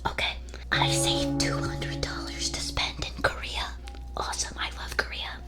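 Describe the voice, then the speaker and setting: A woman speaks in a soft whisper, right up at an ASMR microphone. She reads aloud like a streamer reacting to listeners, playful and mock-solemn in her delivery. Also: normal speed, over loud music. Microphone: close ASMR microphone.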